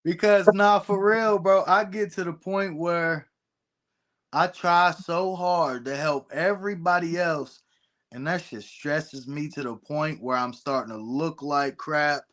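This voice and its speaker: A man's voice: on the high side, a little slow, a bit bright. A man who wrestles, a podcast guest, talking casually and emphatically, peppering his talk with "bro.". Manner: emphatic, agreeing, slangy "bro". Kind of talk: casual chat.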